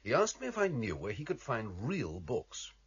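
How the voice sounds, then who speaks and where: An actor playing a loyal, plain-spoken go-between in a radio drama, with a slightly husky voice and a wry, conversational manner. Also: male voice, mid-range voice, wildly swooping pitch, normal speed, highs cut off, full character voice. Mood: reflective.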